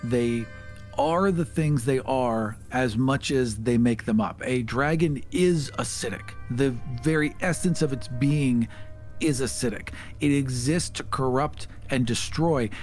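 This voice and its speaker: A man's voice: mid-range voice, a little slow, soft background music. A man hosting a casual podcast chat about tabletop games, talking in an earnest, emphatic way.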